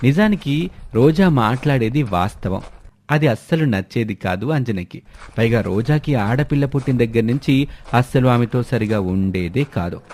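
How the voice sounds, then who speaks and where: Man, storyteller, children's moral story, steady, mid pitch. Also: normal speed, slight background noise.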